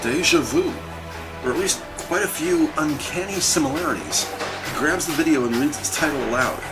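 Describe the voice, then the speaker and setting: A man as a higher-pitched crime drama narrator. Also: moderate pace, music in the background, close to an everyday voice, low-quality recording. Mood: wry. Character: descriptive, wry male crime story narrator. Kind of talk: scripted present-tense audio drama narration.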